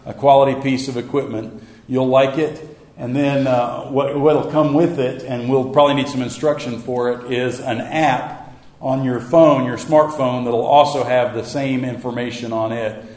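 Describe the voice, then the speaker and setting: A middle-aged man, a pastor giving a casual Wednesday night church talk, slightly hoarse, folksy and self-deprecating with many fillers. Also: medium pitch, fairly even intonation, normal speed, darkish tone. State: a little hoarse. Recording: roomy echo.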